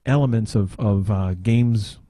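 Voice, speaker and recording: A man's voice: lowish voice, measured pace, mellow, dry recording, studio quality. A man chatting casually on a podcast, hesitant and searching for his words. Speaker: podcast panelist. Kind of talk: casual chat.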